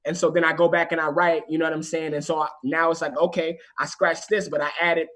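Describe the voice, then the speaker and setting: A young man in his twenties from the US West Coast, a rapper guest telling animated stories with many asides in a casual podcast chat. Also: on the high side, fast talker.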